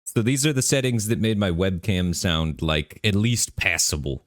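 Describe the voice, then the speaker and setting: A man streaming a game live, talking in a relaxed, rambling way with lots of fillers, his voice bassy on a studio microphone at his home setup. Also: moderate pace, no echo. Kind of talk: casual live-stream commentary. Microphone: studio microphone through an audio interface.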